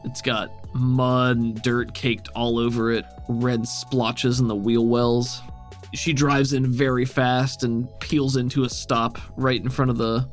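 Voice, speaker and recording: Male game master: mid-pitched, conversational improvised narration, faint music behind.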